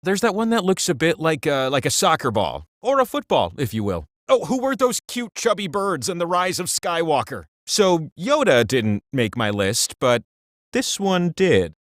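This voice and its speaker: High, swooping, quirky game host. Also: male voice, wildly swooping pitch, normal speed, no room sound, clean studio sound. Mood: playful, coaxing. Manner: theatrical, playful, with exasperated asides. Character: quirky, excitable trivia game host with personal opinions. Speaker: game show host character. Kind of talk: quiz hint narration for a party video game.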